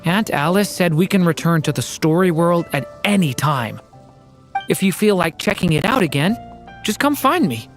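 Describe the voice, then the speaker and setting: An actor playing a gentle, polite, thoughtful young boy in a video game, soft-spoken and considerate. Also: mid-range voice, animated, moderate pace, soft background music, natural voice. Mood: gentle, reassuring.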